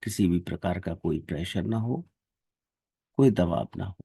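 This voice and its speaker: A man leading a guided meditation and devotional prayers in a calm, measured, devotional manner. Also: deep voice, vocal fry, husky, dry recording, clean studio sound.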